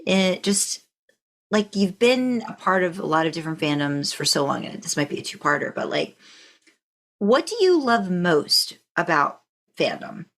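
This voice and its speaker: Casting: female podcast host with a slightly low voice, informal, feeling her way through questions. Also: sing-song, moderate pace, fairly bright tone. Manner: meandering, tentative phrasing. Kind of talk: casual, unscripted interviewing.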